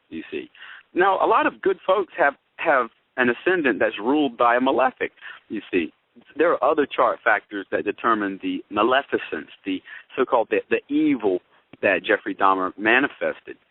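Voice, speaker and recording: A man's voice: lowish voice, no room sound. Man on a tinny phone line, folksy explainer. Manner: explanatory, folksy, addresses listeners with tag questions. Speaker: co-host, astrologer. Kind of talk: conversational astrology commentary. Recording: tinny, phone-like radio-show audio.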